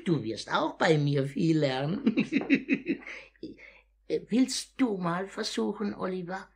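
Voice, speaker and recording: A man's voice: sits high, expressive, takes it slowly, vocal fry, slightly rough, full character voice. A man playing a sly, fawning old master thief, cackling and singsong, in a 1950s German radio drama. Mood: playful, sly. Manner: theatrical, cackling, repeats words in a singsong.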